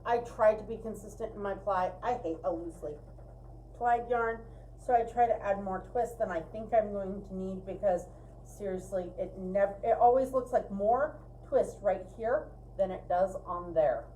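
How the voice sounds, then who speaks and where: A woman's voice: medium pitch, moderate pace, warm tone, slight background noise, poor audio. A woman co-hosting a livestream, giving a casual craft tutorial in a chatty, emphatic way. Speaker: co-host of a fiber arts livestream. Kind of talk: casual spinning tutorial chat.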